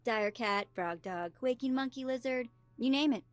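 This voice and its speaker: Actress as a high-voiced, cheeky captive. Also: female voice, moderate pace, smooth, no room sound, close to an everyday voice. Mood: taunting. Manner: mocking, playful. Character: cheeky, taunting female captive mimicking animals. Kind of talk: scripted video game dialogue. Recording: music behind the voice.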